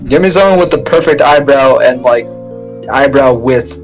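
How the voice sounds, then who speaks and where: A man's voice: mid-range voice, moderate pace, faint music behind, low-quality recording. A man co-hosting a podcast with casual, joking banter and teasing interjections. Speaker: podcast co-host.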